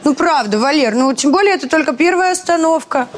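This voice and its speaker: A newlywed wife , played by a woman, pleads and nags at her grumbling husband in a high voice. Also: lively intonation, a little fast. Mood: nagging. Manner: pleading, nagging. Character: nagging upbeat young wife.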